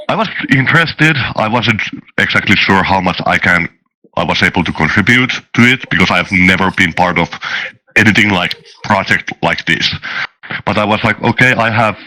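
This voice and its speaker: A man chatting casually as a guest, halting and thoughtful, often repeating words as he goes. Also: low voice, bright, forceful tone, low-quality recording. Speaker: interview guest, tabletop game master. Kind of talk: casual chat.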